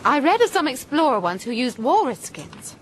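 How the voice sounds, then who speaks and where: A woman's voice: high voice, sing-song, moderate pace. An actress playing a prim, stubborn, spirited castaway woman in a period radio drama, with formal, precise, indignant phrasing. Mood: dry, practical. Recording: clear outdoor sea and boat noise.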